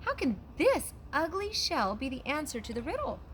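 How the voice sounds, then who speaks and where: An eager, adventurous little storybook girl, voiced by a woman, talks in excitable exclamations. The voice is pitched high, with slight background noise. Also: normal speed. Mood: insistent, exclaiming. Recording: background noise, outdoor ambience.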